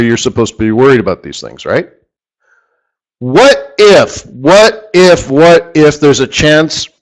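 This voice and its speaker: A man leads a live trading lesson in a middle-pitched voice that is wearing out, so he stops now and then to clear his throat. His delivery is loose and off the cuff, full of restarts and self-corrections. When he wants a point to land he repeats a word over and over. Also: low-quality recording. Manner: rambling, self-correcting, emphatic repetition. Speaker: forex trading instructor. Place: studio or office, live stream.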